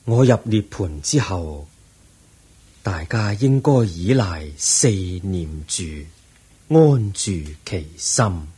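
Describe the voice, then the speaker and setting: An actor playing the aged Buddha in a radio drama, a serene and patient teacher speaking solemn Hong Kong Cantonese in a husky voice. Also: male voice, deep voice, animated, mellow, exaggerated voice. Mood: firm, instructive. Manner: solemn, measured teaching delivery.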